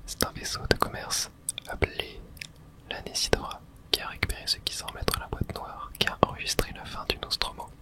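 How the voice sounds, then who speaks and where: A person whispering softly and gently, with no voice in it, close to the microphone, as the host of an ASMR game playthrough. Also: fairly quick. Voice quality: soft breathy unvoiced whisper. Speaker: video game streamer and host.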